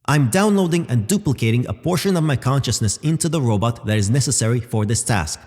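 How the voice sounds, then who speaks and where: A man voicing a calm, obliging artificial intelligence in a sci-fi audio drama, speaking formally and precisely. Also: mid-range voice, close to an everyday voice. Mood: measured, formal. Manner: measured, formal, precise reporting. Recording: long reverberant tail.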